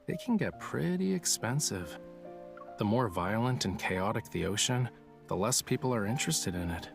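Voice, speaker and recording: A man's voice: on the low side, expressive, moderate pace, creaky, over music. An actor playing a playful, dreamy young male artist in a romance game, speaking in a casual, teasing way. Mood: dreamy, relaxed. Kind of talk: voiced dialogue in a romance game.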